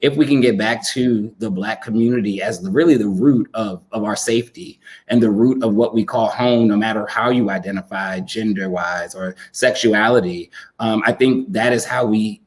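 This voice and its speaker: A man with a somewhat deep voice speaks in an earnest, conversational panel style. He is thoughtful, pausing often and building each point phrase by phrase. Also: low-quality recording. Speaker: panel guest.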